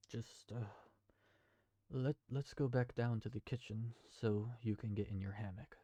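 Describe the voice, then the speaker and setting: A man playing a calm, patient storyteller telling a bedtime tale in a fantasy audio drama. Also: mid-range voice, measured pace, close to an everyday voice.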